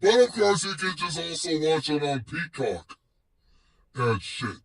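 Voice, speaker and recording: Gravelly, slow-talking man hosting his show. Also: fairly high pitch, sing-song, distant mic. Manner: slangy, boastful, drawn-out emphatic phrasing. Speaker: host of a personal web series. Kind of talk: casual solo rant to fans.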